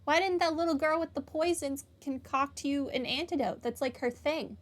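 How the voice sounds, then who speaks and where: A woman's voice: sits high, normal speed. A woman giving live game commentary as a streamer, chatting casually with a playful sarcastic streak. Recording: faint game music behind the voice.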